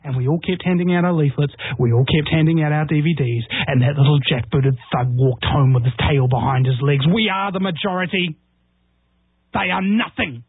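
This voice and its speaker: A man's voice: a little high, normal speed, slightly rough, no echo. A man from New Zealand, a radio host appearing as a guest, talking in an impassioned and rousing way on talk radio. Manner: combative, rousing, rhetorical. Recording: tinny phone line, dry.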